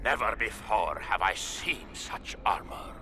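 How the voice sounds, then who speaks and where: An actor playing a proud, mournful dwarf warrior in a fantasy game, weighty and dramatic. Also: male voice, lowish voice, expressive, unhurried. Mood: awed. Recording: game audio under loud music. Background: loud orchestral music.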